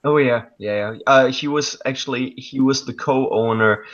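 A man's voice: mid-range voice, moderate pace, a bit bright. A man in his thirties from Germany speaking English with a German accent, a hesitant interview guest on a video call. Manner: hesitant, many ums and restarts. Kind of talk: casual interview chat. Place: dark room at night. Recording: dull lo-fi call audio.

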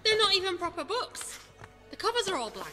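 A woman's voice: very high-pitched, very animated, brisk, bright, forceful tone, exaggerated voice. A woman playing a curious, earnest young female companion, with music behind. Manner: earnest and inquisitive. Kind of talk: fan audio drama acting. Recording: long reverb with music behind.

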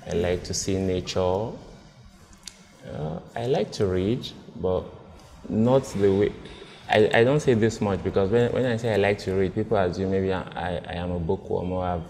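A deep, dark-toned Nigerian man in his thirties, speaking slowly and hesitantly with frequent restarts. His voice carries a long reverb from a restaurant room, picked up by a lapel mic. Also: creaky. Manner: hesitant, halting, many restarts. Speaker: blind date contestant. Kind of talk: casual conversation. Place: indoor restaurant with large windows.

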